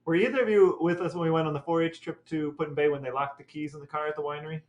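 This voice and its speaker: A man in his forties co-hosts a family chat in a Midwestern American accent. His voice sits a little high, his banter is dry and jokey, and the room echoes clearly around him. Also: steady tone, very fast. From Ohio, United States. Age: 40s. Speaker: podcast co-host. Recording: roomy, echoing sound.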